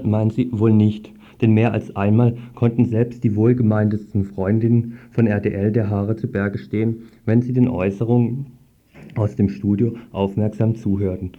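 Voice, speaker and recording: A man's voice: fairly low pitch, dark tone, muffled recording. A man presenting scripted items on community radio, reading aloud with an occasional stumble.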